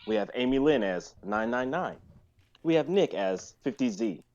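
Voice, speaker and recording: A man's voice: mid-range voice, big pitch swings, normal speed, vocal fry, darkish tone, lo-fi sound. A man in his twenties chatting casually on a group livestream, explaining things in a rambling, circling way. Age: 20s. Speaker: writer and performer on a group livestream. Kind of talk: casual chat.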